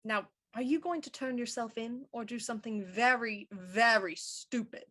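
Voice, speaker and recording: A woman's voice: a little high, fairly bright tone. Woman playing cool, shrewd police inspector. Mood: cool, probing. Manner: cool, deliberate, probing. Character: composed, shrewd female police inspector in Victorian mystery. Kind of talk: radio mystery acting.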